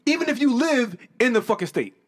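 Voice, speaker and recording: Brief: man, light Boston accent, high, swooping delivery, loud and profane chatter. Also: a little fast. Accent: light Boston, New England. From Boston area, New England. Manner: loud, profane, animated storyteller. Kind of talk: casual podcast banter.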